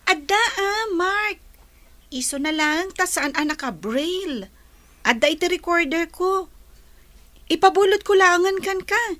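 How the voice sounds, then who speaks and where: Woman playing a high-voiced young female student. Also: animated, a little slow, pauses often, sharp tone, dry recording, full character voice. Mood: helpful. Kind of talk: radio drama dialogue.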